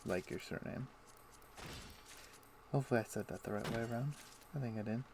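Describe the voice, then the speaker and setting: A man's voice: mid-range voice, a little fast, creaky. A man casually chatting and thinking aloud while he plays a game on a live stream. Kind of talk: casual chat while playing. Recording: noisy home stream audio.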